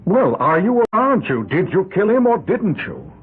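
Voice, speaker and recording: A man's voice: high-pitched, huge pitch swings, husky, heavily acted. Male for an alarmed, probing pilot in a vintage radio mystery; dramatic swoops, thin tinny sound. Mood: insistent, exasperated. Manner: measured suspenseful delivery, probing questions. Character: stranded male pilot guest, alarmed, insistent, level-headed. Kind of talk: old-time radio drama acting, first-person narration and dialogue. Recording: tinny old radio broadcast, loud music.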